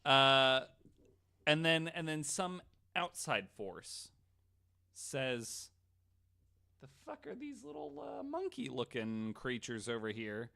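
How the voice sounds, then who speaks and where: Male podcast host, bright forceful voice, very lively intonation, casual and sweary. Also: mid-range voice, slow, gravelly, pauses often. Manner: casual, profane, interjecting. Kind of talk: casual chat.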